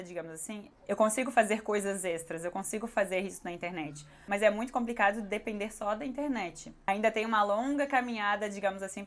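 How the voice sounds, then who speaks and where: A woman with a Brazilian accent speaks in a casual question-and-answer vlog style. Her voice is hoarse and sits at a medium pitch. She comes across as candid and conversational, talking straight to her viewers. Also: fairly bright tone.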